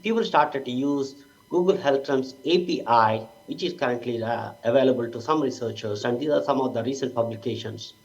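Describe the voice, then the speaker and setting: Halting man with dull audio. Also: medium pitch, a little mellow. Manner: halting, ungrammatical phrasing. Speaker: conference speaker. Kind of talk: academic presentation.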